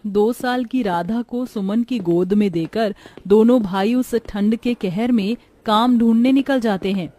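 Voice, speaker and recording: A woman's voice: fairly high pitch, measured pace, warm tone, no echo. A woman narrator reading a scripted moral story in a solemn storytelling manner.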